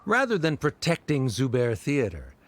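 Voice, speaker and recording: A man's voice: medium pitch, wildly swooping pitch, normal speed, slight background noise. A man voicing a haughty, rigid scholar in a video game, speaking in a pompous, formal and condescending way. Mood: stern, accusing.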